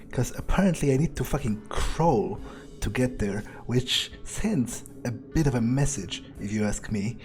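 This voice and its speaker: A man plays a cynical vampire in a video game, musing aloud in a mellow, dark voice. He is sardonic and sweary, speaking haltingly over faint music. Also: mid-range voice, close to an everyday voice. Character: cynical, amused, ruthless male vampire player character. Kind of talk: improvised in-character video game roleplay.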